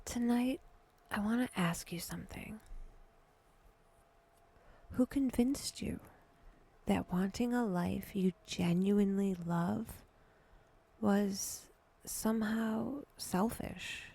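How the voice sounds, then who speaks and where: A woman guiding a meditation in a hushed voice that drifts toward a whisper, speaking deliberately in short phrases with long pauses. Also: mid-range voice, vocal fry, breathy edge. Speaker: guided meditation host. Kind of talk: slow, breathy guided meditation narration.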